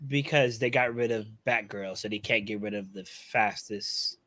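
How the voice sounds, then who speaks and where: A man's voice: fairly low pitch, normal speed, pushed and bright. A man co-hosting a casual geek talk show, joking in a scattered way and laughing mid-sentence. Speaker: co-host. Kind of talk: casual podcast chat.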